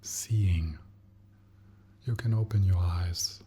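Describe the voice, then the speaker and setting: A man leading a guided meditation as a teacher, speaking gently and softly in short, unhurried phrases with long pauses and a breathy, husky tone. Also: low-pitched, slight background noise.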